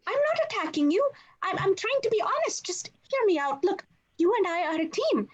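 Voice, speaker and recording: A woman's voice: very high-pitched, very animated, brisk, lo-fi sound. A woman voicing an earnest, self-important little male monster sidekick in a read-through of a comedy script. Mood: earnest, wounded. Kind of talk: cast table read of a film script.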